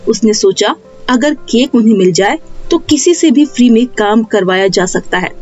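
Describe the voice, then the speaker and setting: Lady narrating a kids story, high voice, soft music behind, slightly muffled. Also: animated, normal speed. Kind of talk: scripted children's story narration.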